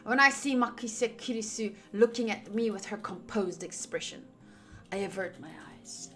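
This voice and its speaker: A woman streamer reading game text aloud and reacting out loud with exclamations as she comments. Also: medium pitch, moderate pace, faint music behind. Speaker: visual novel playthrough streamer.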